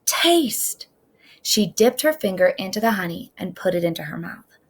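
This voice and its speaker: A middle-aged woman reading a children's story aloud with deliberate, expressive, dramatic phrasing. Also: on the low side.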